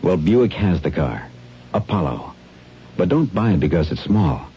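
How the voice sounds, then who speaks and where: A man giving a plain-spoken, persuasive, conversational sales pitch as a 1970s radio announcer. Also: very animated, measured pace, a little hiss or hum. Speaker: car advertisement announcer. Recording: dull old radio broadcast.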